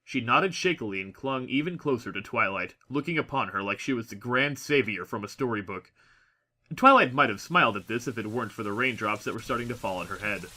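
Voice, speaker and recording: A man reading a scripted story as a narrator, with expressive, dramatic delivery. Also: mid-range voice, a little fast. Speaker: audiobook narrator.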